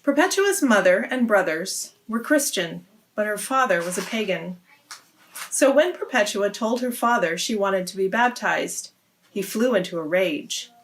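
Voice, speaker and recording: A woman's voice: medium pitch, lively intonation, measured pace, slight background noise, rough recording. Woman reading a children's story aloud. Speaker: read-aloud host.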